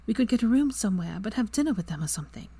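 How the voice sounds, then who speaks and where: A woman voicing a bright, eager young witch in a higher, lively voice that often drops to a hushed whisper. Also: rapid-fire, slightly rough. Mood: affectionate.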